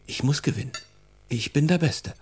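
A man's voice: on the high side, moderate pace, darkish tone, breathy edge. An actor playing a self-confident, preoccupied father in a naturalistic, matter-of-fact style for a radio drama. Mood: proud. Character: busy, self-confident father, computer game designer.

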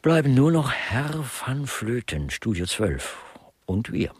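A sardonic artist playing detective in a radio drama. His voice is husky and swings animatedly in pitch, with mannered, literary phrasing and dry irony. The sound is close and dry. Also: sing-song, slow pace, no echo, full character voice. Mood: sardonic, probing. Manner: mannered, literary, dryly ironic. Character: sardonic male artist playing amateur murder investigator. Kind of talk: German radio play dialogue.

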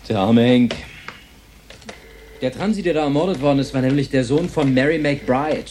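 Matter-of-fact male cop, medium pitch acted. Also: normal speed, darkish tone, slight background noise, natural voice. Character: matter-of-fact police station colleague, an ordinary cop. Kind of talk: radio play dialogue.

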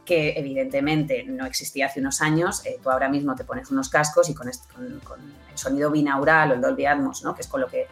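A woman's voice: extremely low pitch, steady tone, fairly quick, few pauses, soft background music. A woman with an accent from Spain speaking as an interview guest, explaining conversationally and often checking with short tag questions. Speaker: interview guest, audio fiction producer.

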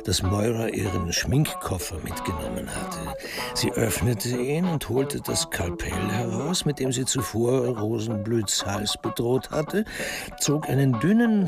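A man narrating a literary crime radio play in a measured, dry manner. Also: mid-range voice, gravelly.

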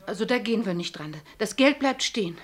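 Lady acting anxious sensible wife in a radio drama, medium pitch, earnest and pleading. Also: animated, brisk, slight background noise, natural voice. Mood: firm, worried. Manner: earnest, urgent. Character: worried, pleading wife of a working man, practical. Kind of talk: German radio play acting.

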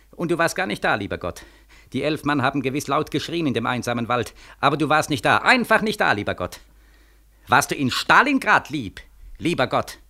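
An actor in a 1940s radio play as a bitter, desperate young soldier, mocking and questioning insistently. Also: male voice, fairly high pitch, sharp tone, exaggerated voice.

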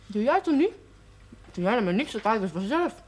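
A woman's voice: a little high, very animated, a little fast. An actress playing a naive, cheeky and stubborn young village girl in a television period drama, blunt and artless. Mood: blunt, defiant.

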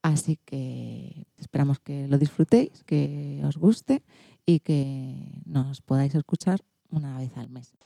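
A woman's voice: a bass voice, takes it slowly, vocal fry, mellow, no room sound, studio quality. A woman with an accent from Spain, presenting an informal radio show and explaining things to a family audience. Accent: Spanish, from Spain.

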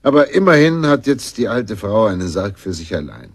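A self-assured master detective in a radio play, with a low, slightly husky voice. He snaps out commands or lays out his reasoning in measured phrases, in a dry studio sound. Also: sing-song, dry recording, heavily acted. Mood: reflective, self-assured. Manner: brisk commands, measured lecturing explanations. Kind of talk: German radio drama acting.

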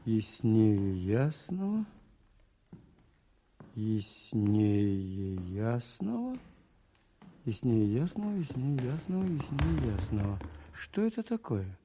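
An actor playing a thoughtful, observant priest detective in a radio play, musing aloud with a husky, mellow voice. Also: male voice, medium pitch, sing-song, slow pace, pauses often, slight background noise. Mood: puzzled, musing. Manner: musing, questioning himself aloud. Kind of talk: radio play narration and monologue.

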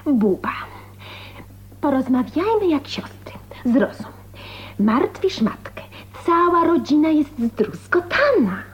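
A woman's voice: sits high, sing-song, takes it slowly, lo-fi sound, exaggerated voice. An actress playing a prim, reproachful young female relative, pleading and indignant, in a radio drama.

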